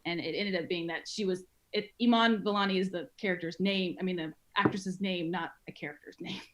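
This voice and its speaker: A young adult woman, a filmmaker guest, talking enthusiastically and quickly in a casual podcast interview. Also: on the low side, steady tone. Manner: enthusiastic, fast, with laughs.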